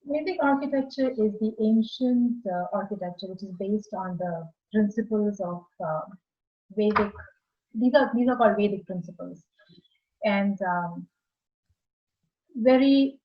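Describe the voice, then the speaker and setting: Indian-accented woman on a video call. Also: medium pitch. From India (Maharashtra). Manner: measured, explanatory, slightly halting. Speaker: guest architect. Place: small room indoors. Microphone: earphone mic on video call. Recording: slightly dull video call audio.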